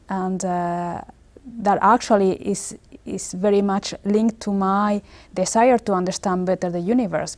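A woman in her forties speaks English with a Spanish accent, her voice warm and mellow. She talks in a measured, earnest way, pausing often to find her words. She sits for an interview in an office. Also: medium pitch. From Spain. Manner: measured, earnest, many filler pauses. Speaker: space agency engineer. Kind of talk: documentary interview. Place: office with large screen and window.

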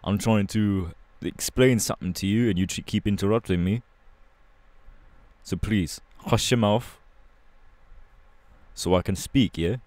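A man voicing a cocky, laid-back young punk superhero with a London accent, slangy and halting, in an ASMR audio roleplay. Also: on the low side, darkish tone, no room sound, close to an everyday voice. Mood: irritated. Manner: casual, slangy, halting, irreverent.

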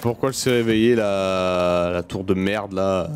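A man's voice: low voice, fairly even intonation, measured pace, slight background noise. A man giving casual let's play commentary, muttering to himself informally and swearing freely. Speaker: gaming video host. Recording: game music behind the voice. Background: video game music.

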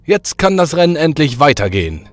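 A man playing a stern, booming ruler of the gods in an audio drama. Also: big pitch swings, a little fast. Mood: jubilant, commanding.

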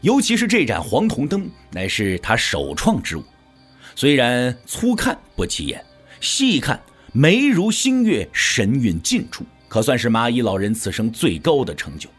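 Lively male audio drama narrator. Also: medium pitch, a little slow, husky, faint music behind.

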